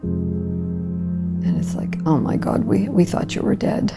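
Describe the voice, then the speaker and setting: A woman's voice: fairly low pitch, big pitch swings, slow pace, vocal fry. A woman in her sixties giving an emotional personal recollection in a documentary interview. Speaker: survivor giving testimony. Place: living room with wood paneling and stone fireplace. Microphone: lapel mic. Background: prominent background music.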